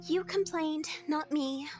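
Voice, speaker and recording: A woman voicing a bossy, unfazed little-girl scientist in an audio drama, teasing and brisk. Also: high voice, a little mellow. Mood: dismissive. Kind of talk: fan audio drama acting.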